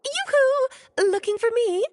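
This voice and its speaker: A woman's voice: very high-pitched, lively intonation, unhurried, heavy background noise. An actress voicing a playful, eccentric female mage in a video game, theatrical, airy and breezily confident. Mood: teasing.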